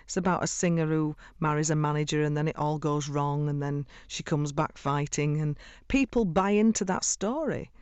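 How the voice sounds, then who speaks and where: A woman's voice: deep voice, moderate pace, few pauses, darkish tone, clean tone, no echo. A middle-aged woman from Sheffield, an effusive singer chatting in a radio interview and laughing often. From Sheffield, England.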